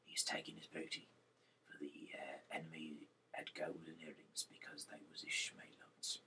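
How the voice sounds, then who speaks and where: Very deep, gravelly, whispery man. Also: flat delivery, normal speed, pushed and bright, distant mic. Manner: nonstandard grammar, stumbles over names. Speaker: reader of Bible chapters. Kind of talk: amateur reading aloud of scripture.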